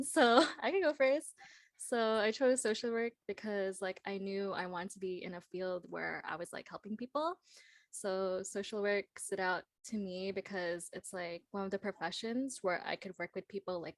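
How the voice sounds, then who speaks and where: A woman's voice: mid-range voice, normal speed, dry recording. A young woman speaking as a guest on a casual panel, in informal phrasing full of filler words. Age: young adult. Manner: informal, peppers speech with "like". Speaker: guest speaker, social worker.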